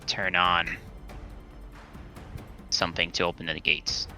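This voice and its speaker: A man's voice: deep voice, little pitch movement, slow pace, bright, forceful tone, smooth. A man playing a tabletop roleplaying game, chatting casually and asking questions in a hesitant way. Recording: music under the voice.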